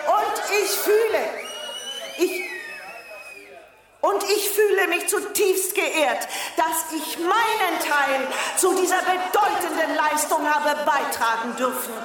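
A woman playing a pompous, condescending lady dignitary who gives a halting, grandiose ceremonial speech in a radio play. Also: extremely high pitch, noisy background. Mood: pompous, self-satisfied. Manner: pompous, halting, grandiose, faltering and repeating herself. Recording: echoing public-address hall sound with crowd.

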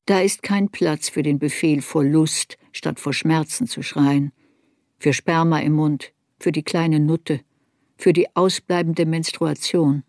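An actress playing a serious woman looking back on her girlhood shame, narrating a literary radio play in a measured, introspective way. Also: sits low, steady tone, darkish tone, no room sound, clean studio sound. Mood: introspective, sober.

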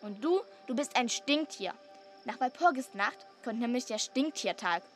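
Radio play role: spiteful young twin sister, woman performer, high voice, sing-song taunting, music underneath. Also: expressive, normal speed, lightly acted. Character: spiteful bossy little girl taunting her twin brother. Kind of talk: German radio drama acting.

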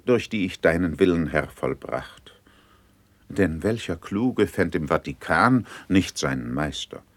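An actor playing a shrewd courtly diplomat in a classical verse radio play, formal and deferential in manner. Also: male voice, low voice, no echo, exaggerated voice. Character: shrewd, courtly male diplomat serving a prince.

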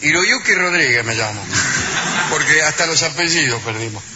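A man, making absurd claims in a flat, matter-of-fact way. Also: on the high side, normal speed. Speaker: comic actor in an improvised sketch. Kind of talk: deadpan improvised comedy sketch. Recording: muffled lo-fi recording with faint noise.

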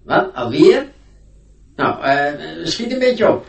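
Roomy, high-voiced stern schoolmaster. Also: male voice, big pitch swings, vocal fry, far from the mic, heavily acted. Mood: irritated, strict. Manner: pompous, scolding, deliberate. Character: stern, pompous male schoolmaster, scolding and sarcastic. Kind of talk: radio drama acting. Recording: roomy, set back from mic.

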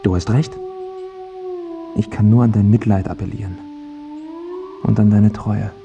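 An actor playing an ailing, self-pitying failed pop singer who pleads and justifies himself in a radio drama. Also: male voice, on the low side, fairly even intonation, measured pace, vocal fry, lo-fi sound, music in the background. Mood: pleading.

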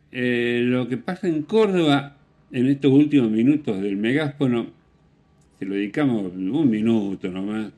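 A man with an Argentine Spanish accent hosting a radio show, commenting casually on music with opinions in a rambling, halting way. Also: mid-range voice, a little mellow. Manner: rambling, hesitant with self-corrections and rhetorical questions. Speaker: radio host.

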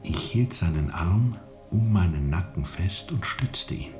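A haunted steamer captain in a radio drama, with an extremely low voice. He recounts his dark journey broodingly, leaning on repeated words for dramatic weight. Music plays behind him. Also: animated, unhurried. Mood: haunted, hushed. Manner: brooding, insistent, dramatic repetition. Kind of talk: radio play monologue.

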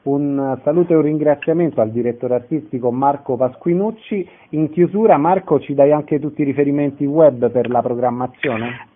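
A man's voice: medium pitch, normal speed, narrow, tinny audio, slight background noise. A man hosting a radio interview, asking questions and summing up details. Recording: thin, band-limited radio sound.

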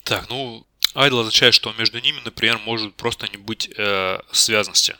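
A man's voice: fairly low pitch, moderate pace, pushed and bright, smooth, dry recording, clean studio sound. A man being interviewed for a technical job, explaining spontaneously and tentatively, often correcting himself. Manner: tentative, self-correcting, admits uncertainty.

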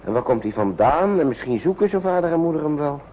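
An actor playing a kindly, worried uncle in a wartime radio play, talking to children in a soothing, coaxing, hesitant way. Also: male voice, fairly high pitch, very animated, quick pace. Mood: concerned, coaxing. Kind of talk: Dutch radio play acting. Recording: muffled, noisy old radio recording.

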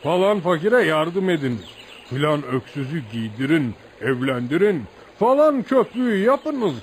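An actor in a radio play voicing a deferential village man who tells outlaw legends with admiration, like an animated folk storyteller. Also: on the high side, measured pace. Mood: excited, proud. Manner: animated folk storyteller, addresses listener as sir. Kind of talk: radio theatre acting. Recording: noisy radio drama recording.

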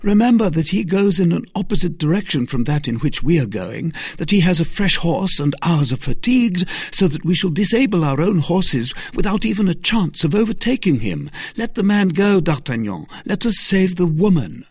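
Man playing a courteous, reasoning musketeer, lifted pitch. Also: sing-song, slow, few pauses, darkish tone, breathy edge, dry recording, studio quality, heavily acted. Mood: insistent, reasoning. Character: reasonable, careful male musketeer, courteous counsellor.

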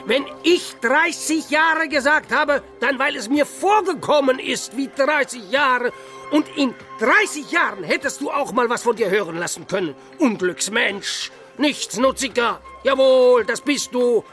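A high-pitched, ranting old peasant father. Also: lively intonation, measured pace, bright, forceful tone, soft background music, heavily acted. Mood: indignant, scolding. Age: older. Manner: ranting, scolding. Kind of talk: German radio play acting.

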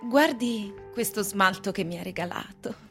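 A woman's voice: medium pitch, normal speed, a bit bright, close to an everyday voice. A woman acting in a radio drama as a flirtatious, fickle wife, coy and confiding in her delivery. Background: music.

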